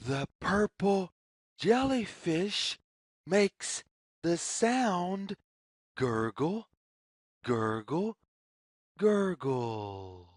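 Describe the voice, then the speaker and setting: A woman reads a picture story aloud for young children. She goes very slowly, spacing out her phrases, with a lively singsong melody that rises and falls widely. The sound is dry, with no room echo. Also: lowish voice. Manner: deliberate, singsong, repetitive phrasing.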